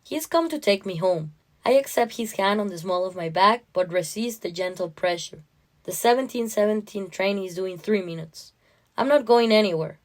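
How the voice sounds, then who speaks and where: A woman narrating a first-person literary story in an expressive, dramatic way. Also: medium pitch, normal speed.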